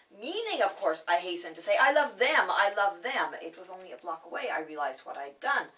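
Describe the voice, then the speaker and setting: A woman's voice: mid-range voice, a bit bright, distant mic, rough recording. A woman reciting her own poem in a dramatic, playful way, savouring the words. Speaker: poet reading own work. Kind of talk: theatrical poetry recital.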